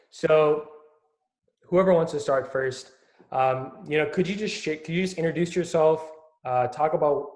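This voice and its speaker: A man hosts and moderates a panel discussion for students. His voice sits at a middle pitch, and his delivery is casual and loose, full of fillers as he introduces the speakers. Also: darkish tone. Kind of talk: hosting an online panel.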